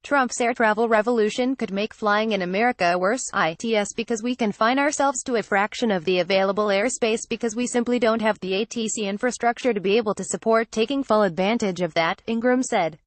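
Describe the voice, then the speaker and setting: A woman narrates a news article from a script. Her delivery is formal and literal, at a middle pitch, with a very dry, close sound free of room echo. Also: fairly quick, few pauses. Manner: reads formally, word for word.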